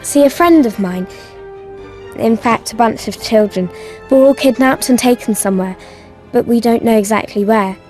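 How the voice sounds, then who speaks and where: A woman's voice: on the high side, normal speed, a little mellow, faint music behind, natural voice. A woman playing a bold, eager young girl adventurer in a video game, chatty and earnest. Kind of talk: video game cutscene acting.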